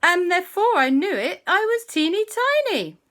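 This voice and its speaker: A woman voicing a shrunken tiny grandfather, with a high squeaky little voice. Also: big pitch swings, a little slow, pushed and bright, full character voice. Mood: explaining.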